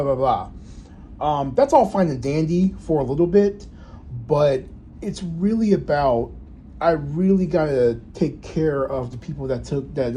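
A man in his thirties talking in a personal vlog, reflective and halting, with many restarts and fillers. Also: medium pitch, dark tone, a little hiss or hum. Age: 30s. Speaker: vlogger.

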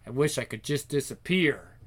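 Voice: medium pitch, expressive, normal speed, voice pushed down, natural voice. A performer voicing a troubled, sarcastic, self-conscious young teenage girl in a dramatic inner monologue for a story. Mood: anxious, mortified.

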